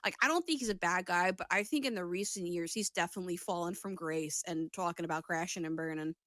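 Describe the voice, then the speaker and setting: A woman with a bright, forward voice chats on a podcast in a close, dry sound. She is casual and slangy, swearing freely as she banters with her co-host. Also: fairly low pitch, fast talker, no room sound. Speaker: podcast co-host and aspiring voice actor.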